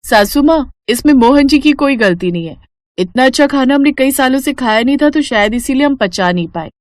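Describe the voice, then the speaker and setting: A woman voicing a sensible, gentle young village wife in a cartoon story, earnest and soothing. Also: high voice, lively intonation, a little fast, no echo, clean studio sound, voice pushed up.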